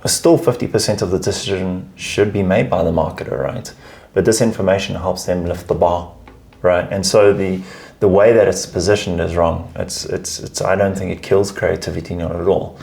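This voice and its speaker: Guest spot: man, deep gravelly voice, emphatic chatty answers. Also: fairly even intonation, normal speed, darkish tone. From South Africa. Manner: emphatic, repeats words while finding phrasing. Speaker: guest, advertising research lead. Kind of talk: casual interview chat.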